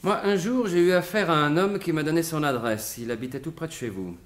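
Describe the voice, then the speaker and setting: An actor playing a sly, self-assured gentleman thief turned sleuth in an animated 1950s-style French radio mystery. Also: on the high side, a little fast, close to an everyday voice. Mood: pondering, excited.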